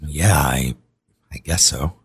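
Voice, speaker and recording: A man playing a gruff, uneasy husband in an audio drama, speaking haltingly in a husky voice. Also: rumbling low, no echo, clean studio sound, full character voice. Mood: reluctant, uneasy.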